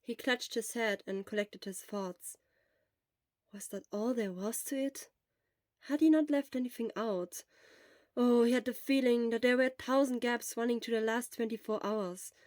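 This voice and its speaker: A woman narrates scripted fiction as an audio story reader. Her voice sits fairly high. The recording is dry, with hardly any room sound. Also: moderate pace, a little mellow, no room sound. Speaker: podfic narrator.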